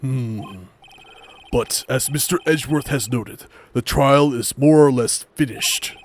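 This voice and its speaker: A man's voice: mid-range voice, takes it slowly, a little hiss or hum, voice pushed down, lightly acted. A man voicing a hesitant old judge in an amateur game dub, formal and ponderous.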